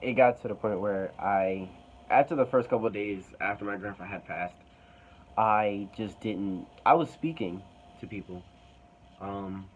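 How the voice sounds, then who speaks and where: Young man, lowish voice, halting casual storyteller; slightly dull recording with faint hiss. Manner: rambling, hesitant, with many fillers. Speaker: vlogger telling a story.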